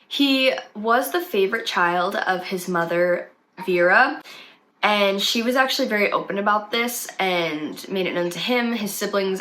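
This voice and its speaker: A woman's voice: medium pitch, pushed and bright, slight background noise. A young woman with a slight cold, chatting casually as she tells a true crime story for her channel. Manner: chatty, conversational with fillers. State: has a cold, sniffly.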